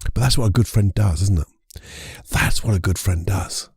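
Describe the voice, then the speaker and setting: A man with a croaky voice hosting a casual solo podcast, chatty and rambling, correcting himself as he goes. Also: low-pitched, moderate pace, darkish tone, no echo, studio quality. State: croaky voice from a lingering cold. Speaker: podcast host and hypnotherapist.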